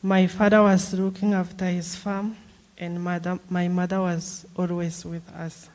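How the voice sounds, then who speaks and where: Slow, mellow-voiced woman from Rwanda. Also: fairly low pitch, level tone. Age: 30s. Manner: slow, careful speech with small grammar slips. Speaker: guest speaker. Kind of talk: personal testimony speech.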